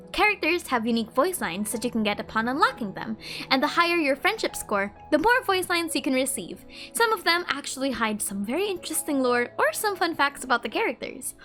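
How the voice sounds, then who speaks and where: Female video host, high voice, animated pitch, casual scripted narration, faint music behind.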